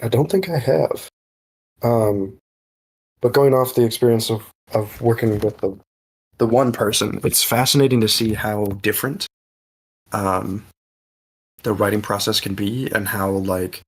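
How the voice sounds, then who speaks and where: A man answers casually as a podcast panelist. His voice is raspy and slightly low, and he feels his way through each thought. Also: fairly even intonation, measured pace, long pauses, rough recording. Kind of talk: casual, reflective panel discussion.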